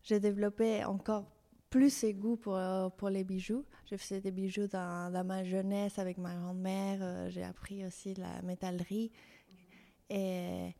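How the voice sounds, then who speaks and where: A young Mexican woman with a Mexican Spanish accent talks about her career as a designer in French, her second language, with many fillers and halting restarts. Her voice is dark and mellow, with a lot of creaky fry. Her pitch stays flat and level. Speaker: fashion designer, guest. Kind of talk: interview conversation.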